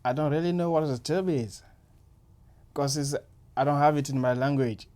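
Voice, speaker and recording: A man's voice: on the high side, moderate pace, darkish tone. A man college student speaking hesitantly about his first lab.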